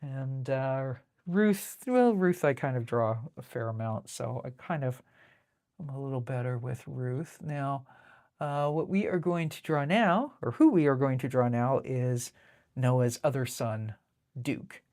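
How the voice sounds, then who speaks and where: A woman with a low contralto voice hosts a casual live drawing stream. She rambles in an easy conversational style, often interrupting herself mid-thought. The sound is dry, with very little room echo. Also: unhurried, a little mellow. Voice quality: deep contralto voice. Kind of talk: casual chat while drawing.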